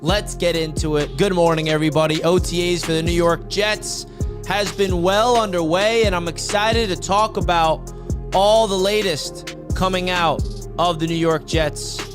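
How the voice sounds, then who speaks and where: A young man hosting a live sports talk stream, his voice raspy and worn from yelling, talking in a hyped, casual way and often tossing in "man.". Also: high voice, fairly even intonation, moderate pace. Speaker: sports podcast host. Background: soft background music.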